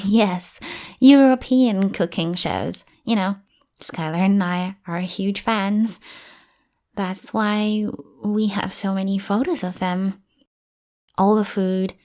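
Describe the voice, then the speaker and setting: Roleplay bodyguard, female, acted by a woman: slightly low register, very unhurried, lovestruck and awkwardly earnest, prone to flustered self-correction. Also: darkish tone. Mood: covering up. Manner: awkward, earnest, slips and hastily corrects herself. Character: devoted, flustered young female bodyguard, hopelessly lovestruck and clingy. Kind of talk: acted audio roleplay addressed to listener.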